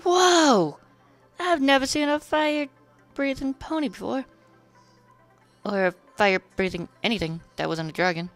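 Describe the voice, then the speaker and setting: A small, easily startled male dragon sidekick, put on by a story narrator, pitched well up and clear. He sounds like a reluctant storybook youngster, with music faintly behind. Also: measured pace, a bit bright. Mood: amazed. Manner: hesitant, exclamatory.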